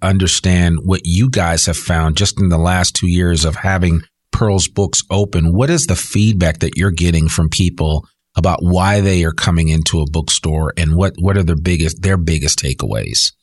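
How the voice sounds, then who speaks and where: A man's voice: deep voice, normal speed, hardly any pauses, slightly rough, no room sound, clean studio sound. A man hosting an interview in a casual, chatty way, given to telling little anecdotes. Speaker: interview host.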